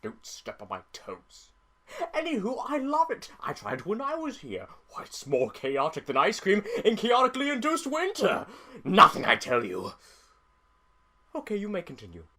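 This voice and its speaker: A boisterous male trickster creature of chaos, with a bright, forceful voice that leaps wildly in pitch. He is theatrical and teasing, made for a cartoon-style audio drama. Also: wildly swooping pitch, normal speed, full character voice. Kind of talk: fan fiction audio drama acting.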